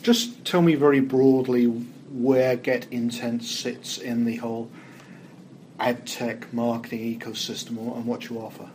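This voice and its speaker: A man's voice: medium pitch, little pitch movement, measured pace, darkish tone, a little hiss or hum, poor audio. A man hosting a podcast, asking short friendly questions and nudging his guest along. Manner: friendly, brief prompts and backchannels. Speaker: podcast host. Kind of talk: podcast interview.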